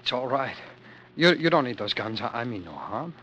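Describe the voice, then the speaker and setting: An actor playing a tender, anguished man fleeing war, in halting, solemn 1940s radio drama style. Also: mid-range voice, sing-song, a bit bright, slightly rough, highs cut off. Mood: desperate, pleading. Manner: halting, repeating words, solemn. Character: fleeing lover narrating war's end, anguished, tender, devoted man. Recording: vintage radio broadcast with music.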